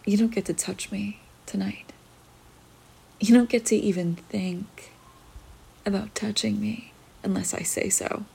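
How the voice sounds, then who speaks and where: A dominant, teasing young woman, voiced by a woman, murmurs in a breathy whisper for an ASMR roleplay. Her tone is dark and mellow, with a gravelly fry at the edges. She takes her time, issuing each command slowly. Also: mid-range voice, fairly even intonation, heavily acted, poor audio.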